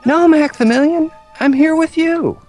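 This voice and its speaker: A man's voice: very high-pitched, measured pace, a little mellow, faint music behind, voice pushed up. A man voicing the pleading, insistent ghost of a wronged young man in an amateur radio play. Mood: plaintive, insistent. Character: pleading young male ghost, mayor's murdered son, bitterly wronged.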